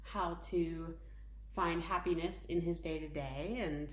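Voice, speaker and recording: A woman's voice: on the low side, steady tone, takes it slowly. A woman in her thirties co-hosting a casual parenting podcast, chatty and full of filler words. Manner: chatty, many "like" fillers, laughs often. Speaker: podcast co-host, mother. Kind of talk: casual chat. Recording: echoey room.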